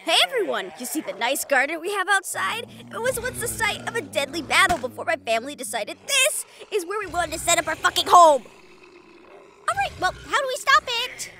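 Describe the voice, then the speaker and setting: A woman's voice: very high-pitched, big pitch swings, fairly quick, crowd noise. A woman voice-acting a tough young fighter in a fantasy action audio roleplay, with dramatic, sweary banter.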